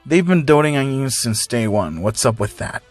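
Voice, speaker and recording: A man voicing a cool, blunt, curious teenage boy hero student in a story, quiet and deadpan. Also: medium pitch, normal speed, soft background music, pitched below the performer's own voice, natural voice. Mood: cool, probing.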